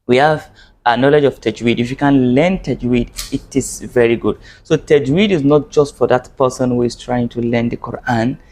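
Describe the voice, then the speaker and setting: A man's voice: mid-range voice, steady tone, moderate pace, darkish tone. A man from Nigeria, teaching an Arabic lesson to camera in an earnest way, often repeating and restarting his phrases.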